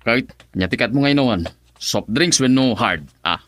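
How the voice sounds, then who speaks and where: A man's voice: medium pitch, expressive, normal speed, a bit bright. An actor playing a sly, cheerful friend involved in drug deals, laughing and teasing as he talks, in a radio drama.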